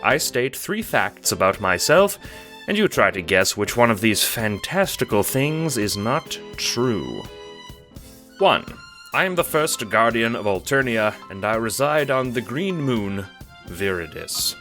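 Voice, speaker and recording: A man's voice: medium pitch, sing-song, unhurried, pushed and bright, soft background music. A man playing a genteel, smug, theatrical guardian villain in an audio drama, speaking in a pompous, wordy and affectedly courteous way. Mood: smugly courteous. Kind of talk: audio drama monologue addressed to the listener.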